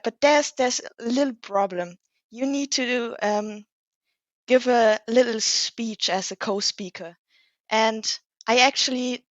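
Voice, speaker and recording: A woman's voice: mid-range voice, a bit bright, no room sound, highs cut off. A young woman in her late teens whose first language is German, a podcast guest speaking English in a modest, slightly hesitant way. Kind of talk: casual conversational interview.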